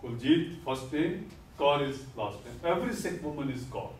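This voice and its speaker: An older man giving a classroom lecture, explaining terms slowly and deliberately and repeating key words, standing at a podium. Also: mid-range voice, lively intonation, slight background noise. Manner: gestures while speaking at a podium. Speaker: lecturer on Sikhism. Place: classroom with whiteboard. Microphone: far camera. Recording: echoey classroom from far off.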